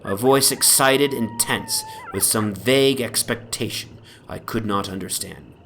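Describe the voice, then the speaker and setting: A man giving a formal, measured, theatrical dramatic reading of a gothic horror story as its narrator. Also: medium pitch, huge pitch swings. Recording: background noise from an ambient soundscape. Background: atmospheric soundscape.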